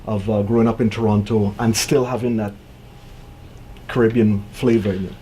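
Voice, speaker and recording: A man's voice: on the low side, little pitch movement, moderate pace, mellow, a little hiss or hum. A man hosting a radio interview in a studio, easygoing and agreeable, backing his guest with short affirmations. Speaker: radio show host.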